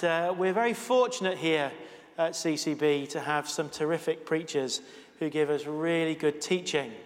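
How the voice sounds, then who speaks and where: Preacher in a reverberant church. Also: male voice, sits high. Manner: chatty, self-deprecating asides. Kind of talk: sermon.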